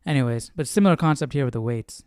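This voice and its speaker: A man hosting a casual Bible study, reading aloud and commenting as he questions himself and thinks out loud. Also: medium pitch, quick pace, smooth, dry recording, clean studio sound. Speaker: host of a Bible study stream.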